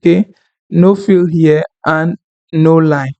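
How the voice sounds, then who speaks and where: A man whose first language is French, talking through a screen-recorded software tutorial in an unhurried, apologetic way, thinking aloud with fillers. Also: a little high, steady tone, no room sound, highs cut off. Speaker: tutorial instructor.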